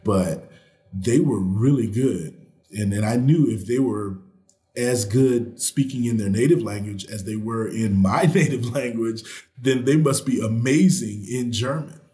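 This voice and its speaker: A man's voice: mid-range voice, unhurried, warm tone, roomy sound. A man speaking as a guest on a podcast, earnest and encouraging. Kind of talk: casual interview chat.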